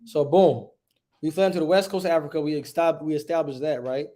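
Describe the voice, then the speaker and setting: A man's voice: steady tone, quick pace, warm tone. Emphatic preachy man teaching a class. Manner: emphatic and preachy, asks "right?" often and says "boom". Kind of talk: livestreamed religious history class, reading aloud and preaching.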